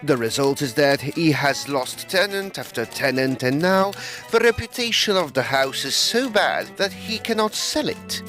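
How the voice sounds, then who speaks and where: A man acts a sceptical Edwardian estate agent in a comic radio play. The voice is a touch high and varies widely in pitch. He is formal, dry and teasing, over faint music. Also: lively intonation, moderate pace, pushed and bright. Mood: businesslike. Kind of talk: tongue-in-cheek period audio drama dialogue.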